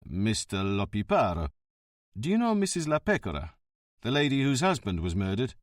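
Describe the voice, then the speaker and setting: A man voicing a shrewd, wry police chief who questions calmly and dryly. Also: fairly low pitch, no echo. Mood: measured. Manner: calm, dry and measured.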